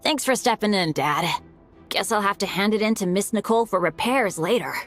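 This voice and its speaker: A woman's voice: mid-range voice, animated, brisk, a bit bright, close to an everyday voice. A woman plays a cheerful teenage boy adventurer for an anime game. The delivery is casual and good-natured, taking bad luck in stride, with faint music underneath. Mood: good-natured, resigned. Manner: easygoing, upbeat, casual.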